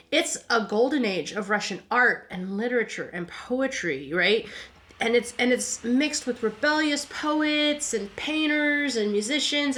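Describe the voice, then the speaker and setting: Woman, podcast host, high bright voice, casual history storytelling, chatty, self-correcting. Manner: informal, chatty, frequent "right?" tags; stumbling, self-correcting, repeats words.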